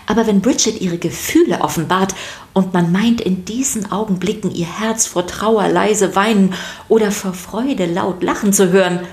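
A woman's voice: mid-range voice, normal speed, a little mellow. A woman narrator reading a scripted podcast for children.

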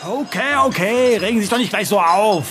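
A man's voice: high-pitched, a little fast, bright, forceful tone, faint music behind. A man playing a rude, brash drunk harassing restaurant staff in a German audio drama. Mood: sneering. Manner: brash, sneering. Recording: chattering restaurant crowd behind.

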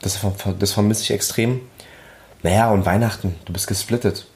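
A young man with a brash Berlin accent, talking quickly in a low voice. His chat is casual and self-assured, full of cheeky banter with his partner. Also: fairly even intonation, darkish tone. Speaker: content creator.

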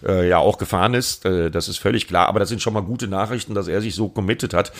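A man's voice: sits low, fast. A man co-hosting a motorsport podcast, explaining motorcycle racing news in casual chat. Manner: informed, explanatory.

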